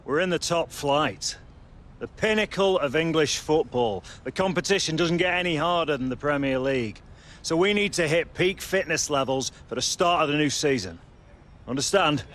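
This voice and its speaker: Male actor as demanding team boss. Dry needling authority, voice a touch high, video game drama. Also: normal speed, fairly bright tone, close to an everyday voice. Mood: stern, demanding. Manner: commanding, pointed, dryly needling. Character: stern demanding male football manager addressing his squad. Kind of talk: video game cutscene acting.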